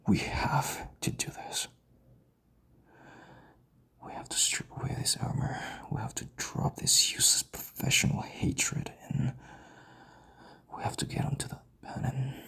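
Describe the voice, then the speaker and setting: A man playing a proud, stubborn king in an intimate audio drama, commanding, with breathy, gravelly half-whispers. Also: unhurried, pauses often, a bit bright, lightly acted. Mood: intense, pleading. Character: proud, stubborn, commanding young king, bitter rival husband.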